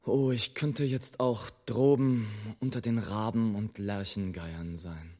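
Low-voiced, sardonic, irascible airship adventurer. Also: male voice, fairly even intonation, takes it slowly, dark tone. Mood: exasperated, bitter. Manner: sardonic, declamatory, bitterly ironic. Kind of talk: theatrical German radio play monologue.

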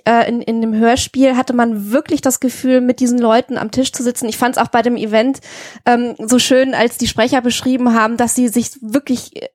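A high-pitched woman interviews guests for a podcast. She talks in long, discursive, enthusiastic turns in a conversational style. The sound is dry and close. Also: darkish tone, dry recording.

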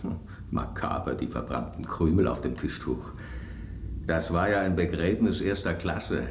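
An actor playing a blunt, sceptical piece of tableware in a German radio drama, sardonic and theatrical. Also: male voice, deep voice, expressive, measured pace, slight background noise. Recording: roomy echo on the voice.

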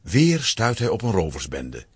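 A man's voice: sits low, huge pitch swings, unhurried, husky, dry recording. A man narrating a scripted children's story with dramatic storytelling emphasis.

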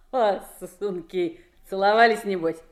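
An actress as a big, broad-shouldered woman trucker in a radio drama. She jeers loudly and takes her time over each exclamation. Her manner is brash and mocking. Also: mid-range voice, slow pace, natural voice. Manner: loud, jeering, exclamatory. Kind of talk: radio play acting.

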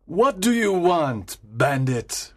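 A bragging soldier sergeant, put on by a male storyteller, pitched up high and swinging his voice wildly from word to word. He talks slowly and heavily, leaning on every boast. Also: very animated, fairly bright tone, heavily acted. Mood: hostile. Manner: blustering, slow and emphatic. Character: boastful loud male army sergeant, adult. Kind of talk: read-aloud story voice.

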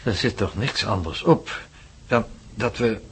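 An actor in a radio play playing a calm, cautious professor who gives advice in a measured, courteous way. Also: male voice, fairly low pitch, a little hiss or hum. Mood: thoughtful.

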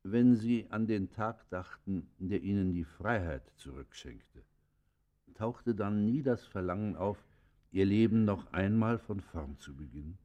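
Very deep, solemn confessor. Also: male voice, animated, mellow, muffled recording. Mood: probing. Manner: solemn, measured, formal. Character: grave, pious male confessor questioning a condemned criminal. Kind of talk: German radio play acting, formal dialogue.

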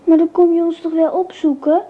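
A woman's voice: extremely high pitch, flat delivery, fairly quick. An actress playing an innocent, trusting little girl full of simple questions in a radio drama. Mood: pleading, puzzled. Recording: thin, phone-like old radio recording.

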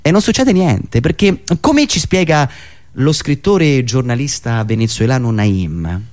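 A man delivering a satirical political monologue in an indignant, sarcastic, declamatory manner with dramatic pauses and repetitions. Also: medium pitch, lively intonation, darkish tone. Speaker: satirical monologist and radio show host.

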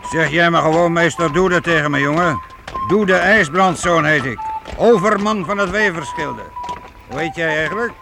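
A man's voice: on the high side, normal speed, creaky. An actor playing a fatherly, hearty medieval guild master in a radio play, with a folksy, jovial manner. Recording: noisy old radio play recording.

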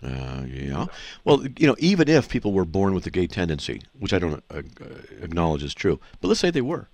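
A man's voice: low voice, wildly swooping pitch, fairly quick, darkish tone, no room sound. A man hosting a call-in radio show, explaining scripture to callers in an assertive, teaching manner. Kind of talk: call-in radio Bible answers.

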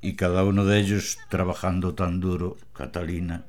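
An actor playing a patient older working-class husband who drives for his masters, speaking softly in a radio drama. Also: low voice, little pitch movement, normal speed, natural voice. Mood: gentle. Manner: gentle, soft-spoken.

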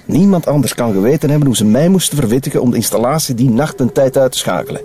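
An actor playing a stubborn, cynical, greedy blackmailer in a radio drama, with a Flemish accent. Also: male voice, mid-range voice, lively intonation, quick pace, mellow, slightly rough. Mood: accusing, demanding.

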